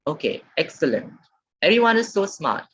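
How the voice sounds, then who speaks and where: A woman teaches Japanese in a live online class. Her voice is slightly husky, and she repeats words slowly and keeps asking the chat for replies. The webinar audio sounds dull and muffled. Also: deep voice, very animated. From Maharashtra, India.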